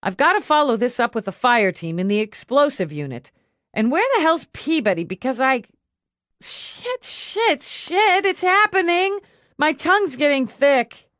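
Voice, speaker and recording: Woman narrator as tough lady cop, high excited pitch, swooping delivery, brash, exclamatory, goofy edge, audiobook character. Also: unhurried, no echo, clean studio sound, full character voice. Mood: cranky, alarmed. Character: tough, foul-mouthed policewoman turned giddy and goofy by painkillers.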